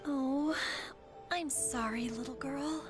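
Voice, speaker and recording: A woman playing a courteous, businesslike adult clerk in a video game, with measured, polite speech. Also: sits high, loud music behind the voice. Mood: apologetic. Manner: courteous, apologetic, measured wording. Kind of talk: video game character dialogue.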